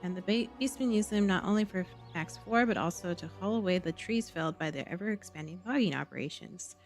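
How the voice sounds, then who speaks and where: A woman's voice: lowish voice, fast. A woman casually commentating as she live-streams a game and reads out its quest text. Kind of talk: casual live gameplay commentary, reading quest dialogue aloud. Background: game music.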